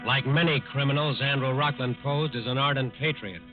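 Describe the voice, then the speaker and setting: A man's voice: medium pitch, steady tone, fairly quick, soft background music, natural voice. A man acting as the grave narrator of a 1940s radio crime drama. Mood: solemn, ironic. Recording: muffled old radio recording.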